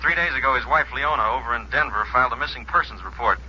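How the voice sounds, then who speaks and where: An actor playing a brisk, matter-of-fact American insurance company man, clipped, in 1950s radio drama style. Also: medium pitch, sharp tone, husky, muffled recording. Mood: businesslike, urgent.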